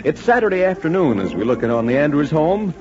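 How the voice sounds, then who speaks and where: A man's voice: on the high side, big pitch swings, quick pace. A man announcing and selling in 1940s radio style, with an emphatic, salesmanlike delivery. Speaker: radio announcer and sponsor pitchman. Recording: muffled, noisy 1940s radio recording.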